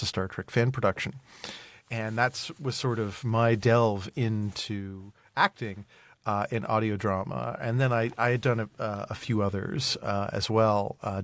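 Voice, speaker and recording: A man answering as a podcast guest in a measured, explanatory way, repeating phrases for emphasis. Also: lowish voice, no echo. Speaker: podcast guest, audio drama actor and producer. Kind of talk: conversational interview answer.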